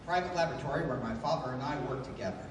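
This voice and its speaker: An actor playing a calm, commanding, idealistic adventure hero in 1930s radio style, speaking in a formal, measured, declamatory way. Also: male voice, mid-range voice, lightly acted. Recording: echoey hall from far off, background noise.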